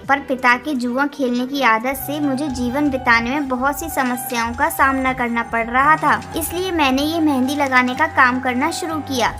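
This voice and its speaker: A woman's voice: high-pitched, sharp tone. A woman voicing a kindly, motherly female parrot in a cartoon, speaking in a caring, earnest way. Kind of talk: Hindi cartoon dialogue.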